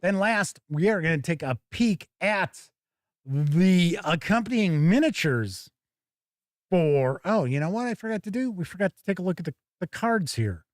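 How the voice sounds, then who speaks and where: A man with a somewhat high-pitched voice hosts a casual live look at tabletop games. He talks in a chatty way, thinking aloud as he goes. The sound is very dry, with no room echo. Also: animated, normal speed. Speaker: host of a tabletop game review stream. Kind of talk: casual live unboxing commentary.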